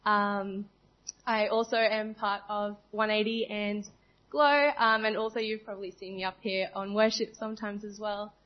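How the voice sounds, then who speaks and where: A young woman introducing herself at church, soft-spoken and hesitant, into a handheld mic. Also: medium pitch, flat delivery, normal speed. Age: young adult. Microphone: handheld microphone. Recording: dull lo-fi church recording.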